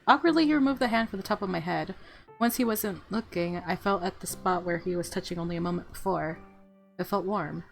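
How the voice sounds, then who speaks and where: A woman streaming a game, reading its story aloud and reacting with casual, excited commentary as she thinks aloud. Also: fairly low pitch, fairly quick, vocal fry, soft background music.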